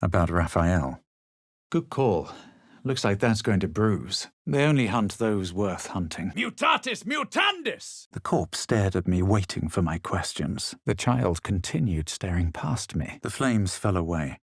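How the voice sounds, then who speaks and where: A man voicing a haughty, cool young adventurer hero in a video game, theatrical and measured, with a husky voice. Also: sits low, very animated, dry recording, clean studio sound, exaggerated voice. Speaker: video game player character. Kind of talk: scripted game voice lines, dramatic narration and barks.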